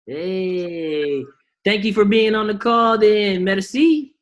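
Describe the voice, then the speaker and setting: A man's voice: sits high, slow pace. A man hosting a team video call, talking in a loose, slangy way and firing off rhetorical questions to motivate the group.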